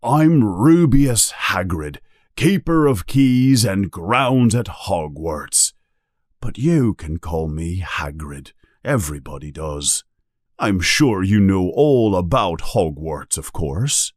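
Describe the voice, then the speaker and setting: A man voicing a booming giant gamekeeper in a storybook, hot-tempered yet kindly, with an emphatic, exclaiming way of talking. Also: lively intonation, slow, no room sound, clean studio sound, exaggerated voice. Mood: friendly.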